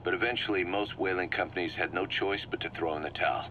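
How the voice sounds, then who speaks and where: A man's voice: sits low, fairly even intonation, fast talker, bright, forceful tone. A man playing a driven, shrewd mercenary commander in a video game, briefing his boss in an emphatic, assured way. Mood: matter-of-fact. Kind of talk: scripted video game dialogue, explaining plans. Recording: muffled cassette-like sound with background hum.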